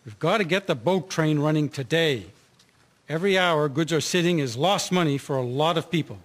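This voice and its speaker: A man's voice: fairly high pitch, normal speed, close to an everyday voice. A man playing a businesslike railway boss giving orders in a historical radio drama. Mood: urgent, businesslike. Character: businesslike railway boss organising snow-clearing crews.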